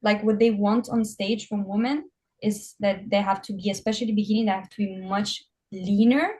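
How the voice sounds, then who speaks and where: A woman chatting casually as a guest athlete, telling stories in an animated way and acting out what people said. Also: medium pitch, normal speed. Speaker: bodybuilding competitor, guest. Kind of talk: casual chat.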